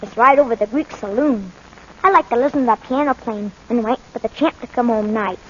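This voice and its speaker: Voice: medium pitch, brisk, breathy edge, lightly acted. A performer playing a streetwise, plain-spoken young boy with slangy speech in a 1940s radio drama. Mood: casual. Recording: muffled, noisy old 1940s radio recording.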